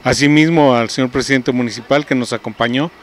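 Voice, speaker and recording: A middle-aged man with a Mexican accent giving a formal, halting statement as a municipal official. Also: mid-range voice. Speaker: municipal public lighting official. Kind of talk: interview statement. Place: outdoors by a roadside. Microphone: handheld reporter microphone. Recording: noisy outdoor field interview.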